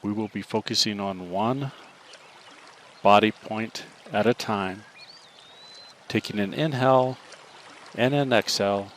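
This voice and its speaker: Man, meditation guide, low voice, slow soft instructions, faint water noise behind. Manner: slow, calm, soft-spoken instructions with long pauses.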